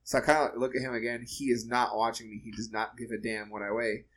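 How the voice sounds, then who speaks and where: Man, relaxed storyteller, laughing, casual, swears, competitor. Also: medium pitch, fast talker, low-quality recording. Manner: laid-back, laughing, swears freely. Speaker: jiu-jitsu competitor, guest.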